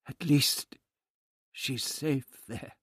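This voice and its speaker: Man playing vulnerable woman, audiobook character, pleading, emotional, high swooping pitch, slow pace. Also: fairly bright tone, breathy edge, no room sound, clean studio sound, full character voice. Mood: choked, miserable. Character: anguished, earnest woman, soft and vulnerable.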